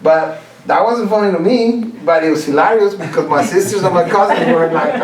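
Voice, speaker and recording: A man's voice: sits high, a crowd in the background. Distant, echoey man telling funny stories. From Costa Rica. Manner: laughs at own stories, halting restarts. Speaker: contest speaker. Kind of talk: humorous contest speech. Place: club meeting room. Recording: roomy, distant mic, faint hiss.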